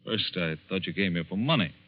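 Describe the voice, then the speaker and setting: A man's voice: deep voice, lively intonation, a little fast. An actor playing an irritable, scornful man in an old-time radio thriller, blustering and dismissive. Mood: curt, defensive. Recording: dull, band-limited old radio recording.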